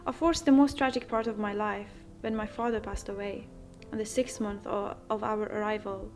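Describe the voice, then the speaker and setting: A young woman from Iran, an asylum seeker, earnestly telling her own story in a measured way. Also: medium pitch, steady tone, a little mellow, soft background music. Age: young adult. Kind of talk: personal narration.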